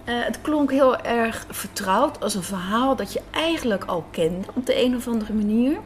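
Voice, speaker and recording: A woman's voice: on the high side, lively intonation, normal speed. A woman with a Netherlands Dutch accent, answering interview questions conversationally and searching for her words. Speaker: radio drama writer and director, interview guest. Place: café. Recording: clear café background noise.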